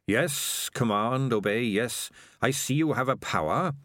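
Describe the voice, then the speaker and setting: A man voicing a small, thoughtful male rat who speaks softly and earnestly. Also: medium pitch, lively intonation, a little slow, no room sound, clean studio sound.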